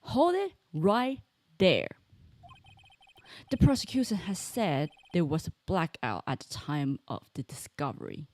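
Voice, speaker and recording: A woman's voice: on the low side, sing-song, unhurried, a little mellow, voice pushed down. Woman voicing an emphatic, swooping courtroom defense lawyer. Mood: accusing, insistent. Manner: emphatic, declamatory. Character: forceful defense lawyer, dramatic and accusing. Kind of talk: dramatic video game dialogue read aloud.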